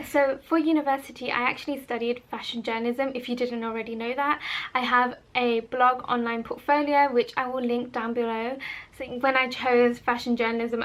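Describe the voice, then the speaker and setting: A woman's voice: on the high side, a bit bright. A woman, chatting in an informal, rambling way as a vlogger talking about herself. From born in Holland, of Bangladeshi family. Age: 20s. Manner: informal, rambling, self-interrupting. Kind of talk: casual chatty personal vlog.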